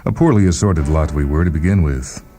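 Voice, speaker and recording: A man hosting and reading short fiction in a measured, deliberate, dramatic narration style. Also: very deep voice, warm tone. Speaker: host and reader of a short story programme. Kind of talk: dramatic scripted story narration. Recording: music playing behind the voice. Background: music.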